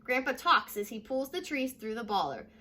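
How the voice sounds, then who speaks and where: A young American woman reading a children's story aloud like a teacher, addressing young listeners directly in a lively way. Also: fairly high pitch, moderate pace. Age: young adult. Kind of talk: children's read-aloud with short asides. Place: living room at home, indoors.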